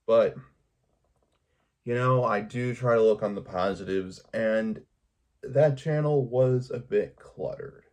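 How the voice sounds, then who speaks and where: A man talking casually to his viewers in a personal vlog, hesitant and halting, often repeating his words. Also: mid-range voice, darkish tone. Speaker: video creator talking to viewers.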